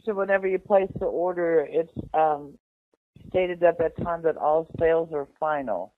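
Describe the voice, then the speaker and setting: A man's voice: a little high, normal speed. A man working in cinema customer service on a phone call, polite and apologetic but firm. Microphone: telephone call. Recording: tinny, noisy phone line.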